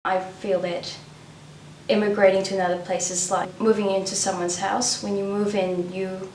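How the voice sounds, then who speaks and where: A young woman from South Africa, speaking in a calm, measured way in an interview. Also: medium pitch. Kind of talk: interview talk. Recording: echoey and distant, with faint noise.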